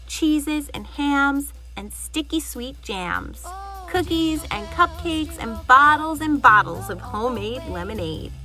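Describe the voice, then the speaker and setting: A woman storyteller reading a children's picture book aloud in an expressive narrating style. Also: on the high side, poor audio.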